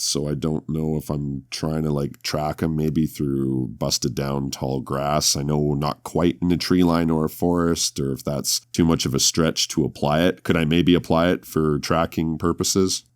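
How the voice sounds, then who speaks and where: A man with a very deep, gravelly voice who hosts and plays in a tabletop horror podcast, speaking deliberately. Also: dry recording, clean studio sound. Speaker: podcast host and tabletop player. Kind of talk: scripted horror intro narration, then casual roleplay.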